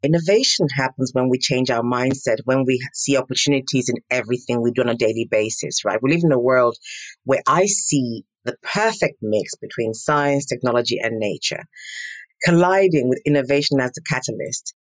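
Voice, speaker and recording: A middle-aged woman from Nigeria, telling her story as an interview guest in an animated, emphatic, self-assured way. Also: very deep voice, normal speed, no room sound. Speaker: guest, entrepreneur. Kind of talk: interview answers.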